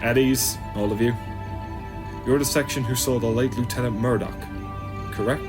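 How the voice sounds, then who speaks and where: A man's voice: medium pitch, steady tone, moderate pace, music in the background, natural voice. A man playing an authoritative starship captain in a science fiction audio drama. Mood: commanding, measured. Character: authoritative starship captain addressing his crew.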